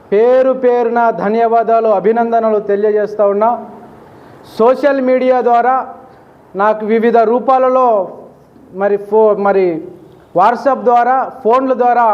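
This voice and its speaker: Very high-pitched man giving a formal speech. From Adoni region, Andhra Pradesh, India. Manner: formal, repetitive, oratorical phrasing. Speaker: local political party leader. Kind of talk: public thank-you speech. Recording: dull, lo-fi sound.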